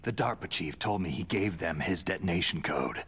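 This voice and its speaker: Game lead casting: a hard-nosed covert soldier, terse and demanding, low-pitched, extremely raspy, hushed. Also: male voice, expressive, normal speed, full character voice. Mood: urgent, hushed. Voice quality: gravelly, husky. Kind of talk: video game voice acting.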